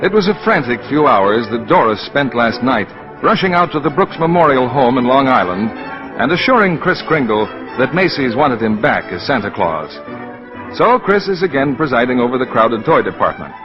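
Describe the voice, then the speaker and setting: A man's voice: mid-range voice, sing-song, normal speed, lightly acted. A man delivering scripted narration for a 1940s radio drama. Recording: dull lo-fi 1940s radio broadcast. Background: music.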